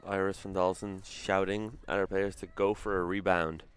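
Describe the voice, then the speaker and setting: A man's voice: sits low, little pitch movement, moderate pace, clean tone. A man commentating a college soccer match, chatty and easygoing, now and then correcting himself mid-call. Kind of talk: play-by-play commentary.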